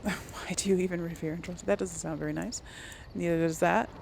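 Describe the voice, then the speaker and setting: A woman's voice: lowish voice, fairly even intonation, a little fast, gravelly, a little mellow, slight background noise. A woman hosting a casual game playthrough, chatty and musing aloud as she reads out dialogue choices. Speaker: gaming video host and player. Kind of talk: casual let's play commentary, reading dialogue choices aloud.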